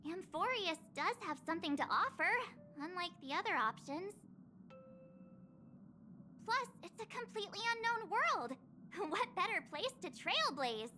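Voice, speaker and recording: A woman's voice: extremely high pitch, big pitch swings, unhurried, bright, forceful tone, faint music behind, heavily acted. A woman voicing a perky, curious teenage girl traveller in a game, with a bubbly, eager, girlish delivery. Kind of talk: anime-style game voice acting.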